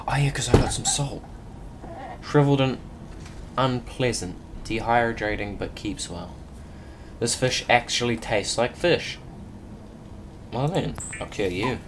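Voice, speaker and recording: A man doing casual gameplay commentary, muttering to himself and reading game text aloud with occasional stumbles. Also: fairly low pitch. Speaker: video game player. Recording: clearly noisy recording with background hum.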